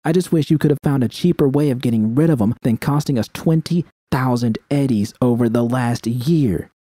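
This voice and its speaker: A man's voice: mid-range voice, moderate pace, mellow, no room sound, clean studio sound, voice pushed up, lightly acted. A man voicing a hot-tempered woman technician in dramatic audio fiction, speaking with cutting, emotional delivery. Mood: exasperated. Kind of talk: dramatic first-person audio fiction dialogue.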